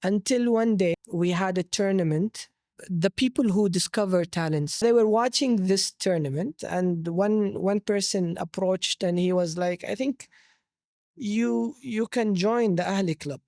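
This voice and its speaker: A man's voice: sits high, unhurried, creaky, a little mellow, no room sound. Saudi man telling his story, close dry sound. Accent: Saudi Arabic. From Jeddah, Saudi Arabia. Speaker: interview guest. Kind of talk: conversational personal storytelling.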